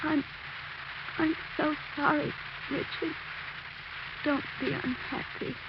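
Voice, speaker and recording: Brief: tender dying wife in 1940s radio play, very slow and weak, on a hissy, muffled old recording. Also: female voice, sits high, fairly even intonation.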